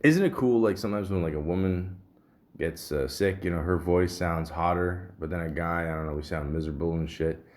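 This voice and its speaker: Deep-voiced man, muffled and grumbling. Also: a little fast, darkish tone. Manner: rambling, grumbling, profane. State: sore throat. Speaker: podcast host. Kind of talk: casual solo podcast rambling, reading symptom lists aloud.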